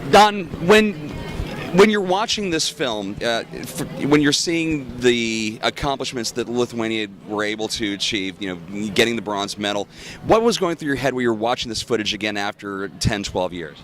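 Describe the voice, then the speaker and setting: A man interviewing guests at a film festival red carpet outdoors, asking brisk questions. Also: medium pitch, lively intonation, a bit bright, slight background noise.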